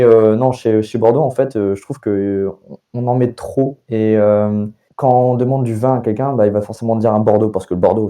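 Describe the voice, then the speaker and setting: Young man, very dark voice, lowish pitch, halting, repeats words, casual podcast guest. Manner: rambling, frequent hesitations and repeated words. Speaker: guest bartender and sommelier.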